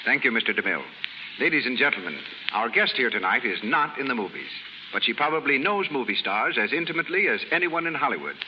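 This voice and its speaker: Animated radio announcer, muffled vintage sound. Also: male voice, medium pitch, fast talker. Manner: formal, polished salesmanship. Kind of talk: scripted 1930s advertising interview. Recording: muffled 1930s radio broadcast, faint hiss.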